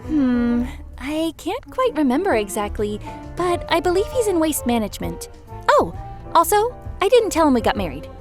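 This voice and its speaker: Anime parody wife, acted by a woman: airy, innocent, oblivious, high animated voice. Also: moderate pace, faint music behind. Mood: innocent, casual.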